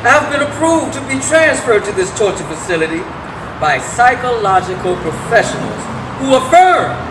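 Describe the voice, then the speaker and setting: A man's voice: extremely high pitch, big pitch swings, normal speed. A man performing a dramatic reading as an anguished prisoner facing life in isolation, with impassioned, emphatic delivery. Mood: grim, bitter. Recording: echoey room with heavy background noise.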